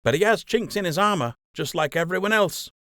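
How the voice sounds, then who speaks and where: A man's voice: sits high, animated, a little fast, fairly bright tone, no echo, clean studio sound, voice pushed up. A man voicing a young male rabbit soldier in an audiobook, friendly and candid, with a casual, mischievous way of talking. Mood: frank, confiding.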